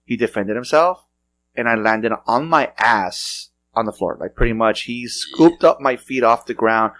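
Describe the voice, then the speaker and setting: A middle-aged man hosts a casual podcast chat. He rambles through stories, restarting his sentences often. His voice sits at an ordinary pitch for a man. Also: measured pace, a bit bright. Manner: loose rambling storytelling with frequent restarts and laughs. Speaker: podcast host.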